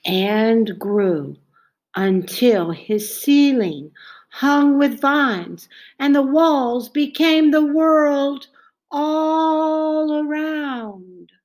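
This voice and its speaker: A woman reading a children's picture book aloud in a dramatic, expressive way, stretching out phrases and dropping into hushed tones. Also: high voice, very slow. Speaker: storybook reader.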